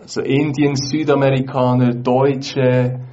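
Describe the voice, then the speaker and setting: A man with a mellow, warm tone interprets a sermon live, closely following each phrase. The sound is dull and lo-fi, with a faint hiss in the background. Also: medium pitch, flat delivery, measured pace.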